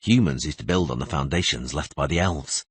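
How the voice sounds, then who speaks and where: A man's voice: a bass voice, fairly quick, breathy edge, pitched below the performer's own voice. A man voicing a stern, guarded monster hunter and patient mentor in a fantasy audiobook. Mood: somber. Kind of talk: audiobook character voice.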